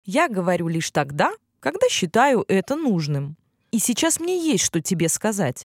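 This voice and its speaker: Storybook casting: proud male unicorn, played by a woman, scolding and wise, expressive melody, dry close recording. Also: medium pitch, dry recording. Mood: stern. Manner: admonishing, measured.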